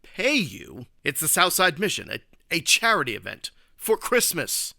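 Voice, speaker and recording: An actor playing an earnest, stuffy caped crime fighter with a formal, straight-laced manner in a comedy radio play. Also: male voice, on the high side, sing-song, measured pace, vocal fry, bright, forceful tone, no echo, studio quality, exaggerated voice. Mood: flustered.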